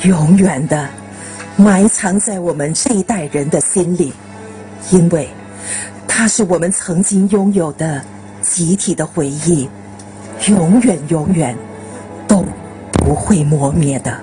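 Slow, mellow woman on radio. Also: fairly low pitch, faint music behind. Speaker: radio host. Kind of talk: scripted farewell narration.

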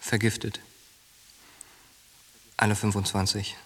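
A man's voice: fairly low pitch, little pitch movement, takes it slowly, a bit bright, slightly rough, heavily acted. An actor playing a brash, coarse young soldier who goads his friend, in a radio drama. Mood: grim, confiding. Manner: coarse, goading, slangy.

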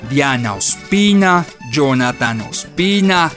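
A man's voice: a little high, lively intonation, slow pace, fairly bright tone. An actor playing a grumbling yet proudly generous Colombian working man and husband in a radio drama, speaking colloquially. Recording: faint music behind the voice.

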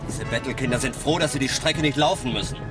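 An actor in a radio play playing a suspicious, contemptuous, impatient travelling man who speaks in a gruff, dismissive way. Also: medium pitch, fast talker, over music, close to an everyday voice.

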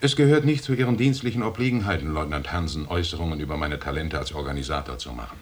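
An actor playing a stern, condescending expedition commander in a radio drama, speaking formally with a husky voice, as if lecturing. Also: male voice, rumbling low, fast. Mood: icy rebuke. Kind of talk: 1980s German radio drama acting.

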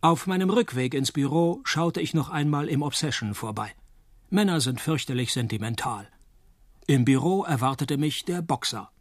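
An actor playing a wry, deadpan, hard-drinking male private detective in a radio play, speaking with dry, laconic wit. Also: fairly high pitch, moderate pace, no room sound. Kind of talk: radio play dialogue and first-person noir narration.

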